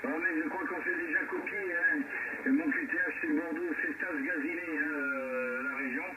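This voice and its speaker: A man's voice: fairly high pitch, steady tone, moderate pace. A man comes through a radio transmission buried in heavy static, dull and distant. He is an amateur operator chatting casually, a little out of breath. His delivery is hesitant, with repeated words and small tags at the ends of phrases. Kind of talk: casual radio contact chat. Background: loud radio noise.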